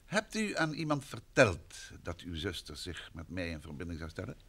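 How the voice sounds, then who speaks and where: Animated gentleman investigator. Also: deep voice, normal speed, heavily acted. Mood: serious. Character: courteous, assured gentleman investigator and husband. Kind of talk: old Dutch radio drama acting.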